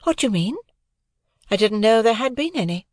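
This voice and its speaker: A woman's voice: on the high side, expressive, normal speed, a little mellow, dry recording, clean studio sound, pitched above the performer's own voice. A woman voicing a proud, elegant young society beauty in an audiobook, with cool, careless disdain. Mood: cool indifference. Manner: detached, careless, teasing disdain.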